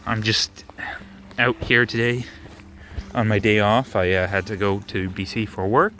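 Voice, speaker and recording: A man casually narrating his rock hunt on a rainy beach outdoors, relaxed and musing, thinking aloud with small restarts. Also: lowish voice, measured pace, faint music behind. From Canada. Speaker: rockhounding vlogger.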